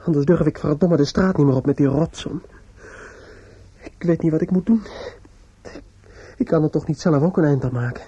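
An actor playing a desperate, distraught young man in a radio play, muttering in a halting, repetitive, obsessive way. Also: a little high, mellow, husky, dry recording, highs cut off. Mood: agitated, pleading.

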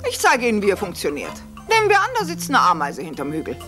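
A woman's voice: medium pitch, wildly swooping pitch, fast. Chatty cartoon anteater lady, posh n droll, swoopy, music behind. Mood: proud, showing off. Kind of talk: cartoon dubbing, talking to the viewer.

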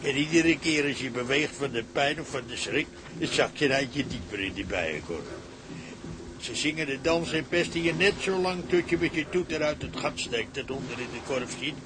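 Ship's cook, male actor: salty man relishing grisly tales, slangy, mid pitch, radio drama, clear ambient noise. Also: animated, normal speed, lightly acted. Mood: ghoulish glee. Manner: colourful, slangy storytelling. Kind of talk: Dutch radio drama acting. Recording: clear background noise, outdoor ship ambience.

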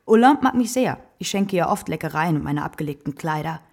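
Brazen courtesan, gravelly, husky, knowing, expressive, radio drama. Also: female voice, deep voice, brisk, heavily acted. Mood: brisk, persuasive. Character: worldly, brazen kept woman, generous and theatrical.

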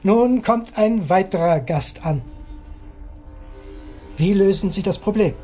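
A cranky, self-certain time-travel theorist in a radio play. His husky voice sits fairly high for a man, and he lectures insistently, with arrogant impatience and condescension. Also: takes it slowly, dark tone, soft background music. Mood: smug, lecturing. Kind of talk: German radio drama dialogue.

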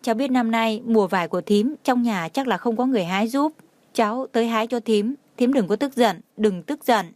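A woman's voice: a little high, brisk, darkish tone, no echo, natural voice. A woman voicing a humble, remorseful young woman in an audiobook, pleading tearfully for forgiveness.

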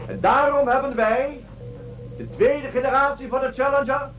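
A man's voice: very high-pitched, steady tone, moderate pace, a bit bright, faint music behind, low-quality recording. A man playing a solemn starship commander giving a formal, declamatory address in a science-fiction radio drama. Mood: solemn, resolute.

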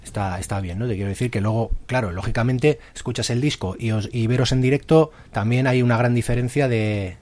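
A man hosting a radio interview, talking in a relaxed, hesitant way with lots of fillers. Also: medium pitch, brisk, few pauses, no room sound. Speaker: radio host.

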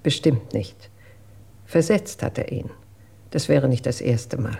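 Radio drama: composed housekeeper witness, plain-spoken woman, raspy with vocal fry. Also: rumbling low, expressive, measured pace, warm tone, heavily acted. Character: matter-of-fact female household employee with a weak heart.

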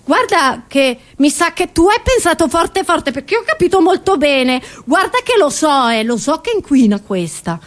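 A woman acts out a chatty old grandmother with a high voice. She is half-deaf and indignant, stammering and repeating herself as she grumbles through a comic monologue. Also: sing-song, normal speed, hardly any pauses. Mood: teasing. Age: older. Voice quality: high-pitched old-lady voice.

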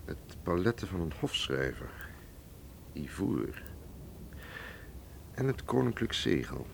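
An actor in a radio drama playing a shrewd, suave museum curator, measured and condescending. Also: male voice, low-pitched, very animated, vocal fry, slight background noise, exaggerated voice. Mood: shrewd, bargaining. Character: shrewd, suave Russian male museum curator, quietly threatening.

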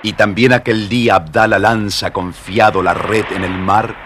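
A man narrating a scripted children's story in a measured, dramatic manner. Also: fairly low pitch, steady tone, background noise.

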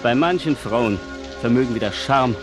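Man acting cheeky manservant, deferential, mid pitch, music and rain behind, radio drama. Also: moderate pace, close to an everyday voice. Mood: obsequious, amused. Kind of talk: 1970s German radio play acting.

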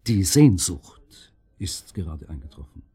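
An actor in a radio drama playing a courteous, discreet hotel receptionist with a formal manner. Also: male voice, deep voice, big pitch swings, unhurried, dark tone. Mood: dry, sly.

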